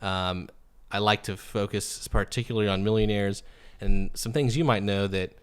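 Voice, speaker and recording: Podcast host, man in his thirties, low and smooth voice, rambling easygoing questions. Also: fairly even intonation, normal speed. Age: 30s. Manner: chatty, loose, many fillers and restarts. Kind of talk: casual interview.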